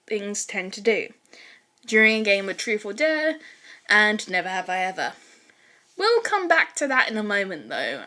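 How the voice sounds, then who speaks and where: A young woman with a fairly high voice reads fan fiction aloud. She has an informal way of talking, as an amateur author presenting her own story to listeners. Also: fairly bright tone. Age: young adult. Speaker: podfic author and reader. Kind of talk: casual spoken intro and fan fiction narration.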